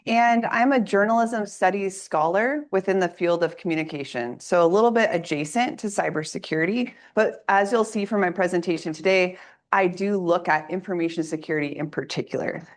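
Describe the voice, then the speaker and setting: A woman, an assistant professor, gives an academic presentation in a lecture room, her voice a little raspy from a coming cold, speaking in a clear, organized way. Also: fairly low pitch, a little fast. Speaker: assistant professor giving a workshop talk.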